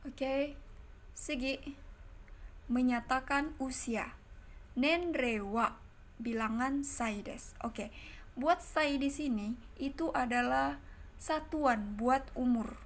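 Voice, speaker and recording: A woman teaching a language lesson online. She repeats each example sentence slowly, explains it step by step and keeps turning to her viewers as friends. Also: high-pitched.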